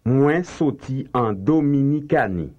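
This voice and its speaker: A man reading slow, carefully enunciated translations in a language drill. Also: medium pitch, lively intonation, a little mellow, lo-fi sound. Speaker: language teacher.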